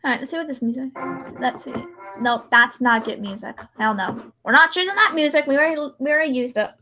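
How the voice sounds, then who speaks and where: A woman hosting a casual livestream, chatting in an expressive, exclamatory and easily distracted way. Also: high-pitched, fast, soft background music. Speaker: livestream host editing videos.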